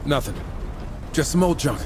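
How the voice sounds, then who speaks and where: Brash, sarcastic game rogue voiced by a man, higher-pitched, very animated delivery, music behind. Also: moderate pace, lightly acted. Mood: evasive, brisk. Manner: sarcastic, teasing. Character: wisecracking, cocky horseman, brash younger-brother gunslinger type. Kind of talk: video game cutscene acting.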